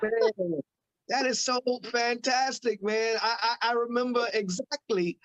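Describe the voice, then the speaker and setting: A man's voice: very high voice, animated, unhurried, creaky, fairly bright tone, dry recording, lo-fi sound. A man in his forties hosting a casual group conversation, laughing often and repeating words as he talks. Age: 40s. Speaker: host.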